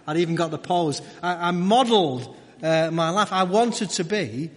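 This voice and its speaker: A middle-aged man preaching a conversational sermon, halting and repeating his phrases as he goes. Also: high voice, animated. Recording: echoey hall with long reverb.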